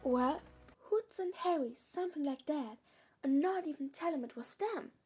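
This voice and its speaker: A woman plays a cautious teenage schoolgirl witch in an audio drama. Her voice is high, proper and probing, with a worried hesitancy. Music is heard behind her. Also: lively intonation, moderate pace, a little mellow. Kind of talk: fan audio drama acting.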